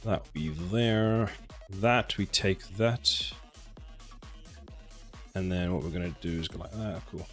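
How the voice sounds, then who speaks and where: A South African man in his forties streams his game development live, reading viewers' comments aloud and answering in a rambling, self-mocking way. His voice sits fairly low. Soft music plays faintly behind him. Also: slow pace, long pauses. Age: 40s. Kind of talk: casual live stream chat while coding.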